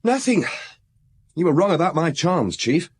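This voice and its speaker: A young police detective, fairly high and husky. He speaks with wry deference and bouncy intonation, as in a radio play. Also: very animated, moderate pace, a little mellow, heavily acted. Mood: rueful, wry. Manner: rueful, lightly self-mocking. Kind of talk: radio drama acting.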